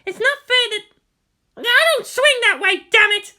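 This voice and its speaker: Shrill, fiery teenage girl. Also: a little slow, sharp tone, heavily acted. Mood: furious. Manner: shrill, blunt, accusatory. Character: fiery, indignant teenage redhead girl pilot. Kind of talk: dramatic fan fiction character reading.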